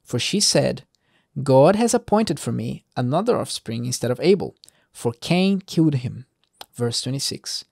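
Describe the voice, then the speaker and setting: A man's voice: medium pitch, expressive, measured pace, creaky, a little mellow, dry recording, clean studio sound. A man hosting an informal Bible reading, commenting off the cuff in a conversational, digressing way and putting rhetorical questions to the listener.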